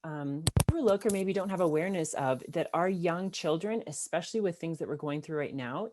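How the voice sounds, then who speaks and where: A woman co-hosting a podcast, asking measured, thoughtful questions with occasional fillers. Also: fairly low pitch, noisy background. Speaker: podcast co-host. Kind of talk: interview questions and reflections.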